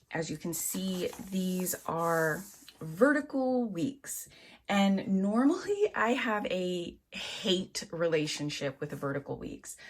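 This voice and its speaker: A woman giving a calm, casual walkthrough of a planner in a vlog, explaining each detail. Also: sits low, slight background noise. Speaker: planner and journal vlogger.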